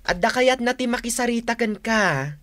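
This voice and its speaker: A woman's voice: a little high, expressive, moderate pace, lightly acted. A woman voicing a cheeky, plaintive younger sibling at a food stall, wheedling with an Ilocano accent in a radio drama. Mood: whiny, wheedling.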